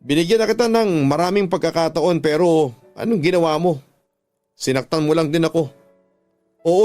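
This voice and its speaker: Hurt frank wife, played by a man, reproachful, fairly high voice, dry sound, soft music behind. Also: no echo, close to an everyday voice. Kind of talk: radio drama acting.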